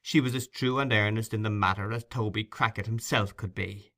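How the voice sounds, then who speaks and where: Bright-voiced male audiobook narrator. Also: fairly low pitch, fairly even intonation, a little fast. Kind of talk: scripted dramatic narration of classic fiction.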